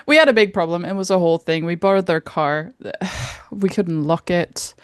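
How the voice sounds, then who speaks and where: A woman's voice: on the low side, moderate pace, smooth, no echo, clean studio sound. A woman co-hosting a casual podcast, telling stories in a rambling, wry way with lots of fillers. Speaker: podcast co-host. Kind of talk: casual chat.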